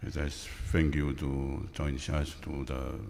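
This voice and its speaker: A man's voice: rumbling low, level tone, takes it slowly, creaky, a little mellow. A man of sixty with a Tongan accent, formally introducing himself as a town officer. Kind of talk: formal self-introduction to a meeting. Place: community hall. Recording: echoey hall, background noise.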